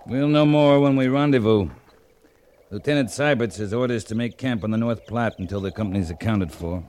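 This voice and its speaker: A low-pitched actor's portrayal of a male cavalry captain from an old radio western. He is terse and understated, with steady authority. The vintage recording carries faint noise. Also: a little fast, natural voice. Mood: brisk, commanding. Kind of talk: 1950s radio western acting.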